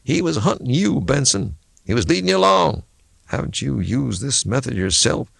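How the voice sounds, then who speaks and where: Male narrator as folksy backwoods man in a thriller audiobook: needling, insistent, raised pitch, lively swoops. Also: normal speed, gravelly, slightly rough, dry recording, studio quality, exaggerated voice. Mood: sarcastic, pressing. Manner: folksy, needling rural speech. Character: unruffled, sardonic backwoods man, insistent and preachy. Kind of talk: audiobook character dialogue.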